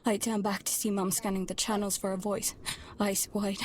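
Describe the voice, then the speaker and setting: A woman playing a protective young girl who narrates her life at sea in a hushed, intimate way for an audio drama. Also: medium pitch, flat delivery, normal speed, natural voice. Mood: tense. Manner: hushed, breathy, often near whispering. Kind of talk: first-person scripted audio drama narration.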